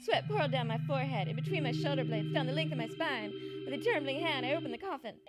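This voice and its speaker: A woman playing an earnest, melodramatic wife who narrates a comic 1940s-style radio mystery with deadpan asides. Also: sits high, fast talker, over loud music. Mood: suspenseful, deadpan. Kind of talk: 1940s radio acting with first-person narration.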